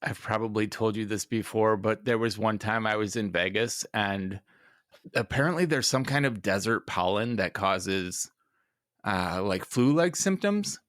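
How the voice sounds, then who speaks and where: Man, podcast co-host, casual rambling chat, fillers, fairly low voice, dry close mic. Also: unhurried, a bit bright, no room sound. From Minnesota. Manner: rambling, self-deprecating, many fillers.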